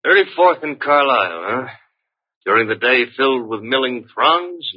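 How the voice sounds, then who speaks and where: Flippant radio detective, lively delivery. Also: male voice, medium pitch, breathy edge. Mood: musing, wry. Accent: American. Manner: breezy, ironic, quick-witted banter. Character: flippant, wisecracking, flirtatious male private detective's assistant. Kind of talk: 1940s-50s American radio drama acting. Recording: muffled old-time radio recording.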